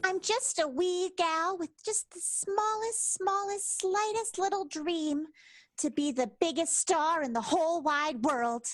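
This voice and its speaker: A woman's voice: extremely high pitch, a little slow, dry recording, soft background music. A woman playing a coy, dreamy little burlesque starlet in an improvised radio comedy. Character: wee, starry-eyed Parisian burlesque girl.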